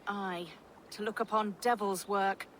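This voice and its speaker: A woman's voice: mid-range voice, steady tone, measured pace, a little hiss or hum, lightly acted. A woman playing a level-headed, inquisitive Saxon abbess in a video game, speaking formally. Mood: earnest. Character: composed, inquisitive Saxon abbess investigating dark mysteries. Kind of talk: video game cutscene acting.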